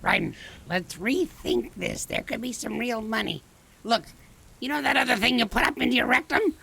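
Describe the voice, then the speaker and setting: A woman's voice: fairly high pitch, normal speed, gravelly, pushed and bright, slight background noise, voice pushed down, heavily acted. A woman voicing a blunt, greedy dwarf adventurer in a tabletop game, speaking in the third person. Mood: scheming, blunt. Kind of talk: tabletop role-play.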